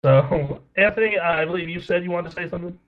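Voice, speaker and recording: A man with a somewhat high voice leads a casual chat about games, dropping in plenty of "like"s and "um"s. The audio sounds a little dull and lo-fi. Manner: brisk, filler-heavy with many "like"s. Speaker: podcast host.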